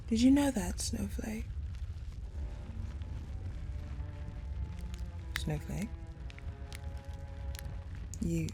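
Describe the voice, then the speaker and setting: Actress as cold, possessive female general for intimate audio drama: dark mellow tone, unhurried, deliberate, hushed delivery. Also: on the low side, creaky. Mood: tender, reassuring. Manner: slow, hushed, deliberate storytelling with pauses. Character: cold, possessive ice general confiding in her captive beloved. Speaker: voice actor in audio roleplay. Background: music.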